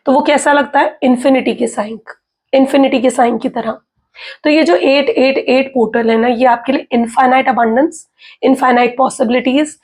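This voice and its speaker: A woman tarot reader with an Indian accent, hoarse from a sore throat, chatting casually to viewers and checking in with "okay" as she explains. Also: sits high. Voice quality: husky. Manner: chatty, mixes in English, frequent "okay" and "theek hai" check-ins.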